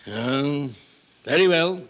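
An actor playing a stubborn, grudging revolutionary delegate in an old-time radio drama, speaking in short phrases. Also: male voice, on the high side, expressive, slow pace. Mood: contrary. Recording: dull, faintly noisy vintage radio recording.

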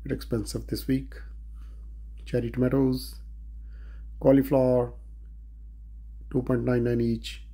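A man's voice: mid-range voice, flat delivery, a little slow, long pauses, warm tone, husky. A man vlogging a walk through a large grocery store, plainly listing produce and prices. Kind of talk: grocery store walkthrough narration.

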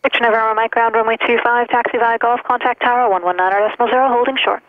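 An air traffic controller giving clipped, procedural instructions in rapid phraseology over an aviation radio. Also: medium pitch, steady tone. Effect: aviation radio transmission. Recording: tinny narrowband aviation radio.

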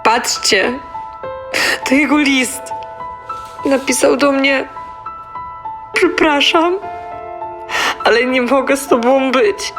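An actress playing a manipulative young widow in a theatrical radio true-crime dramatization. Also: female voice, high voice, slow, pauses often, music in the background. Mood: feigned tearful grief. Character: manipulative young Romanian widow feigning grief, icily composed.

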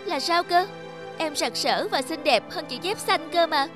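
High-pitched coaxing young slipper girl. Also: measured pace, music in the background. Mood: upset, whining. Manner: plaintive, coaxing. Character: vain, scheming young female pink slipper, sweetly pleading. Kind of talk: animated moral tale dialogue.

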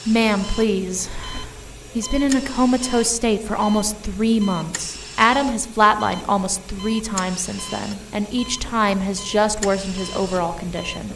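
A woman playing a calm, grave, compassionate military doctor in an audio drama. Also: mid-range voice, measured pace, far from the mic, music in the background, lightly acted, low-quality recording. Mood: grave, firm.

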